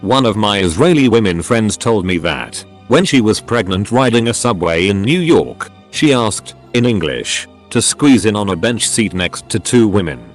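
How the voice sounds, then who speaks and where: Lively low-voiced male story narrator. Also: normal speed, hardly any pauses, soft background music. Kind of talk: scripted narration of online stories.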